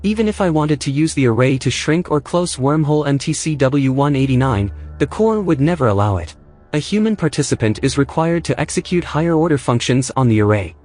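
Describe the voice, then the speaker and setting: A fussy, anxious male AI played by a man speaks in a fairly high register with music underneath. The delivery is sardonic and put-upon, in the manner of sci-fi audio drama. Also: expressive, a little fast, darkish tone, close to an everyday voice. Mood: reluctant. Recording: music behind the voice.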